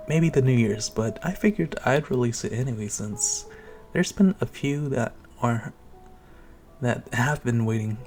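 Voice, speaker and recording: A man's voice: mid-range voice, a little mellow. A young man talking casually to his listeners as the creator of an audio channel. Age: young adult. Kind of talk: casual intro addressed to listeners.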